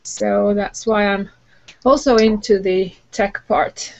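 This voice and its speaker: A woman from Finland with a Finnish accent, introducing herself informally and a little haltingly on an online video call. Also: mid-range voice, steady tone, gravelly, darkish tone, lo-fi sound. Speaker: co-host, education professional.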